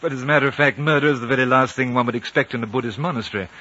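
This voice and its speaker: A man's voice: mid-range voice, racing. A man playing a composed, courteous British consul who leads and narrates a 1950s radio drama in articulate speech. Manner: calm, articulate, reasoning. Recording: muffled noisy 1950 radio recording.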